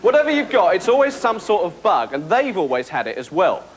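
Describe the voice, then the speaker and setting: A man's voice: high-pitched, big pitch swings, a little fast, breathy edge. A man presenting topical comedy links to a studio audience with a dry, wry delivery. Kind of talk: topical stand-up links in a sketch show. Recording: live studio audience with noise.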